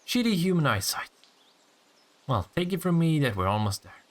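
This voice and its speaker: A man's voice: fairly high pitch, very animated. Man playing a smug, teasing vampire, swooping intonation. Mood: exasperated. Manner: sardonic, teasing, swearing, drawn-out taunts. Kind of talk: intimate audio roleplay acting.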